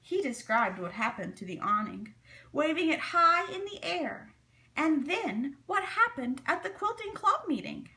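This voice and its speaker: A woman reading a children's story aloud in an expressive, deliberate, dramatic way. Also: high-pitched, rough recording. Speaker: storybook reader.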